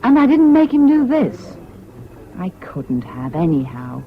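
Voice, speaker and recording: A woman's voice: fairly low pitch, big pitch swings, measured pace, a little hiss or hum. An actress playing an emotional, proud young Englishwoman in wartime in a 1970s British radio drama. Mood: distraught, bitter. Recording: dull old radio recording, music behind.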